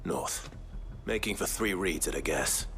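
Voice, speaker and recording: An actor playing a stern, dry-witted fantasy warrior leader in a game cutscene, terse and commanding. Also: male voice, deep voice, animated, a little slow, a bit bright, a little hiss or hum, heavily acted. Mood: brooding, dry. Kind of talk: acted game cutscene dialogue.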